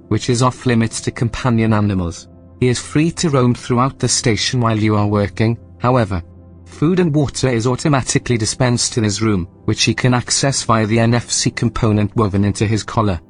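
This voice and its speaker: An actor playing a composed, snide artificial intelligence running a station in a sci-fi audio drama, speaking in a precise, formal, deadpan way. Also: male voice, mid-range voice, fairly quick, soft background music, natural voice. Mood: matter-of-fact. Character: dry, snide male AI station supervisor, composed.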